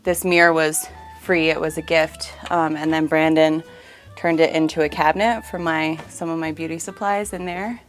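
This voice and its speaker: A woman with a low-pitched voice walks through her home as its owner. She talks in a casual, explanatory house-tour style, with faint music behind her. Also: fairly even intonation, normal speed. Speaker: homeowner giving a house tour.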